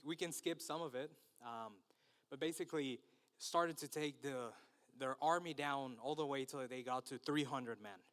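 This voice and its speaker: A man's voice: medium pitch, fairly bright tone. A man from Mexico whose first language is Spanish, preaching in English with a Mexican accent, nervous and hesitant with many fillers as he reads scripture. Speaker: guest preacher. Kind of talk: sermon with scripture reading.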